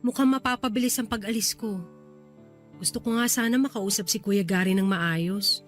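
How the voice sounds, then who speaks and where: A woman's voice: medium pitch, normal speed, soft background music, lightly acted. A woman with a Filipino accent playing a sweet, playful younger sister in a radio drama. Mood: worried, earnest.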